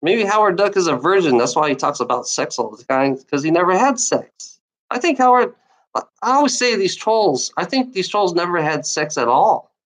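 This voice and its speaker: A woman's voice: lowish voice, lively intonation, moderate pace. A woman streamer who stutters, ranting at her chat in a rambling, repetitive way with frequent restarts and swearing. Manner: repetitive, restarts phrases, profane. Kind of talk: rambling livestream rant at chat trolls.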